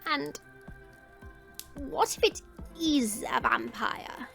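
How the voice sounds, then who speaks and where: A woman acting a wise, composed old grandmother in a fantasy audio drama, speaking formally and with gentle firmness. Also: sits high, creaky, faint music behind, full character voice. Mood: questioning. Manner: measured, formal, gently firm.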